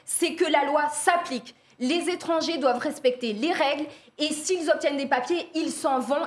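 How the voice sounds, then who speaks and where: A woman arguing with passion as a guest in a televised debate. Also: sits high. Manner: impassioned, forceful argument.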